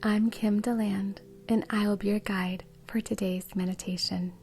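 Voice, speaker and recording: A woman guiding a meditation in a soft, breathy, soothing way. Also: mid-range voice, little pitch movement, moderate pace. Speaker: meditation guide. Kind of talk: guided meditation.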